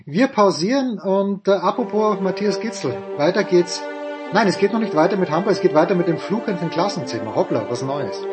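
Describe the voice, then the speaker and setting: A man's voice: sits high, expressive, loud music behind the voice. A man with an Austrian accent hosting a casual sports talk show, joking and teasing his panel. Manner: jokey, teasing, quick moderator. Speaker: host.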